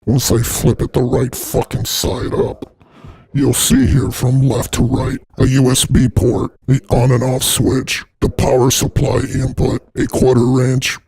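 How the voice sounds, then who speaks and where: A man with a gravelly, husky, effected voice narrating a product unboxing in a casual, sweary, irreverent way. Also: mid-range voice, little pitch movement, unhurried, a little mellow. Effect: voice changer processing.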